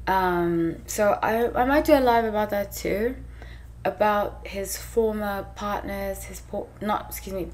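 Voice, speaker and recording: A woman's voice: mid-range voice, a little mellow, slight background noise. A woman in her thirties from New York, raised in South Africa and England, hosting a tarot channel and chatting casually to camera, a bit tired and hot, with lots of fillers and restarts. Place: small room indoors.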